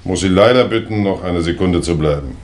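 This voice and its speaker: Low male voice, actor as methodical inspector, official questioning, vintage crime radio play. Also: a little fast, slight background noise, lightly acted. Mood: questioning, firm. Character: methodical, polite but firm male police inspector. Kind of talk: 1960s German radio drama acting.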